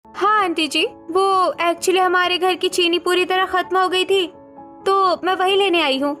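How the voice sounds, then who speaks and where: A woman's voice: very high voice, fairly even intonation, unhurried, sharp tone, soft background music, exaggerated voice. A woman voicing a young, supportive and assertive daughter-in-law in a cartoon family drama, earnest and firm. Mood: polite.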